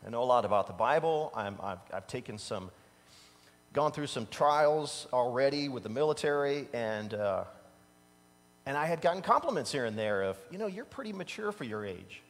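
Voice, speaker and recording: Fifty-year-old pastor preaching in a reverberant sanctuary. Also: male voice, medium pitch, moderate pace. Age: 50s. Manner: animated storytelling, laughs at his own stories. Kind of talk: casual conversational sermon. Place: large church sanctuary stage. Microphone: standing at a pulpit mic, far camera. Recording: long reverb from a church hall.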